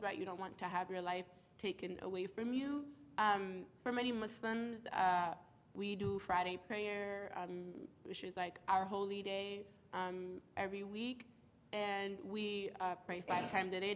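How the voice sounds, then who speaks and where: A woman from the Bronx, stuffy from a cold, speaking earnestly and reflectively as a panelist. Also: medium pitch. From United States (the Bronx, New York). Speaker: panelist, community organizer. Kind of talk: panel talk.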